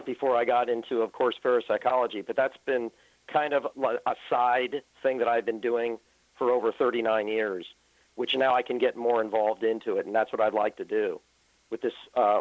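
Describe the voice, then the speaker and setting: A man's voice: mid-range voice, dry recording. Man in his fifties on a phone line. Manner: earnest, rambling with many ums. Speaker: paranormal researcher guest. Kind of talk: interview answers. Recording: tinny phone line, dry.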